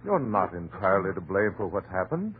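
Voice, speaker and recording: An authoritative doctor in a 1940s radio thriller. His voice is fairly low and lively, with a firm, reasoning, soothing manner. The recording is muffled and narrow, like an old broadcast. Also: a little fast, slight background noise. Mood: firm, reassuring. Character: steady, authoritative male doctor calming a distraught friend. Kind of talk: 1940s American radio horror acting.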